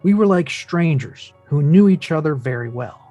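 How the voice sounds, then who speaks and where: A man playing a wounded, earnest adult son who narrates his father's life in a measured, reflective way at a script read. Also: fairly high pitch, animated, mellow, muffled recording, faint music behind, lightly acted. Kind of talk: dramatic table read, first-person narration.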